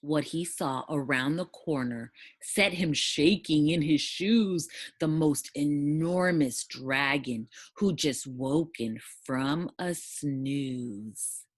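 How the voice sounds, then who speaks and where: A woman giving an expressive read-aloud narration of a children's storybook. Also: low-pitched, slow, breathy edge, dry recording. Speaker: storybook reader.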